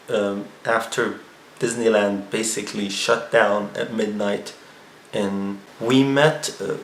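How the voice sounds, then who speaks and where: Gravelly-voiced man chatting in an echoey room. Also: on the low side. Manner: chatty, rambling with restarts. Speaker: vlogger. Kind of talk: casual recap vlog. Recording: echoey room with faint ambient noise.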